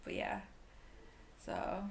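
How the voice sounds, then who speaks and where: A woman's voice: drawn-out words, slight background noise. A woman in her twenties with a Swedish accent, chatting casually as a podcast guest, rambling with lots of fillers. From Liberia, raised in Sweden. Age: 20s. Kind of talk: casual chat.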